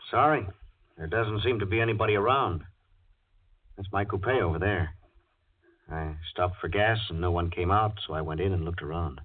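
Deep-voiced, sinister friendly stranger. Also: male voice, normal speed, long pauses, husky. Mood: coolly friendly. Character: smooth-talking sinister male stranger, falsely friendly, pushy. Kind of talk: 1940s radio thriller acting. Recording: tinny, band-limited old radio recording.

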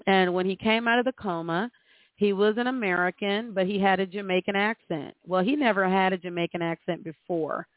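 A woman's voice: mid-range voice, brisk, dry recording. Woman speaking through a thin, phone-like line. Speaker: host of astrology forecasts. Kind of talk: spiritual commentary with anecdotes. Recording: thin, narrow phone-like sound.

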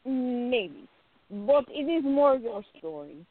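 A woman playing a playful, affectionate young artist in love in a radio play, with a teasing, expressive delivery. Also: fairly high pitch, takes it slowly, no room sound, exaggerated voice. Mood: tender, teasing. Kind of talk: amateur radio play acting. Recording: thin phone-like audio, dry.

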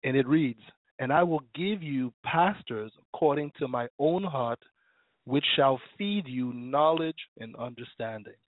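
A male minister preaching and praying on an internet radio show, his voice slightly raspy from illness. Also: mid-range voice, lively intonation, measured pace, no echo. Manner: fervent, preacherly, prays and reads scripture. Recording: dry tinny phone line.

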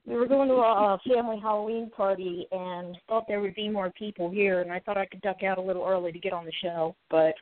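A woman co-host with a slightly stuffy nose talks in a relaxed, apologetic way at a middle pitch. She sounds like she is on a thin, phone-like line, close and dry. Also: fast, hardly any pauses, no echo. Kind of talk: casual chat.

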